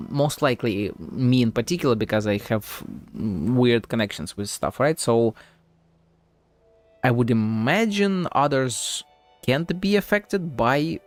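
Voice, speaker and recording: A man streaming a game with casual live commentary, musing and rambling as he goes, swearing casually. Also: medium pitch. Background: game music.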